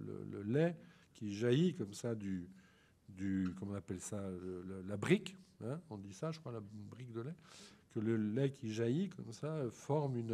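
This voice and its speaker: A man with a bad head cold giving an informal lecture on a photograph, talking slowly with many ums and restarts. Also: medium pitch, darkish tone, breathy edge.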